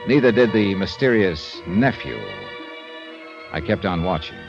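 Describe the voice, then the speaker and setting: An actor playing a wry, easygoing insurance investigator who narrates in a dry, laconic first person, in a 1950s radio drama. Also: male voice, sits low, expressive, a little slow. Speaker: narrator and lead. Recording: dull old radio broadcast with music.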